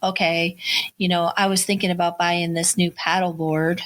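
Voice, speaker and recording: A woman's voice: fairly low pitch, flat delivery, moderate pace, low-quality recording. A woman with a New Jersey accent chatting casually as a podcast co-host. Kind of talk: casual conversational chat.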